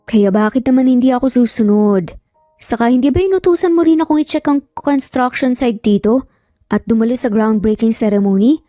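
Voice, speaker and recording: A woman's voice: on the high side, expressive, a little fast, dark tone, no echo, clean studio sound, faint music behind, natural voice. Woman voicing a curt, proud young Filipino engineer. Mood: dry, matter-of-fact. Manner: terse, grumpy, teasing.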